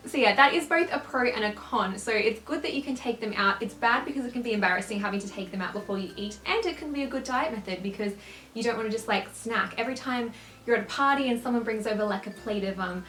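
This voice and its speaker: A young woman vlogging in a casual, upbeat way, with a slight lisp and a quick laugh. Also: fairly high pitch, fairly bright tone. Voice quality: slight lisp from clear aligners. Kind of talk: casual chat to camera. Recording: roomy echo.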